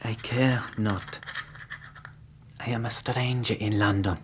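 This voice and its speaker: An actor playing a starving, exhausted Greek prisoner in a radio drama, terse and stubbornly defiant. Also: male voice, lowish voice, steady tone, slow pace, noisy background. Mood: weary defiance. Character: defiant, starving captive Greek man, weak but stubborn.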